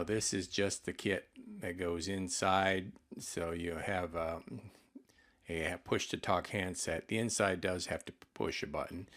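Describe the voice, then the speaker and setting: Male presenter, deep register, for an informal retail product demo; plain, explanatory, slightly halting delivery. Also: a bit bright.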